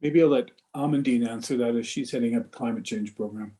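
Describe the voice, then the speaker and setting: A man presenting research on a video call, relaxed and fluent, trailing off quietly as he hands over. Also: mid-range voice, fast talker.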